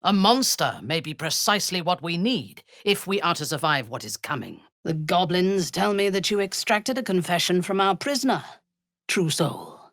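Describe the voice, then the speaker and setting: A woman voicing a ruthless, haughty warrior zealot for a video game, speaking in an imperious, measured, menacing way. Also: on the low side, no room sound, clean studio sound. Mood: stern, assured. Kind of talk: video game character voice lines.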